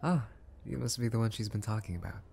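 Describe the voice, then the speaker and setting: A man playing a caring, gently stern sick brother in a calm, soft-spoken ASMR roleplay. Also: lowish voice, quick pace, gravelly, a little hiss or hum. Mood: calm, friendly.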